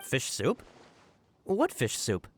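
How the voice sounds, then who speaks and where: An actor playing an earnest, deferential subordinate in a video game, emphatic and apologetic, easily flustered. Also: male voice, mid-range voice, wildly swooping pitch, takes it slowly, slight background noise. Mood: incredulous, insistent. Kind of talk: scripted video game voice acting.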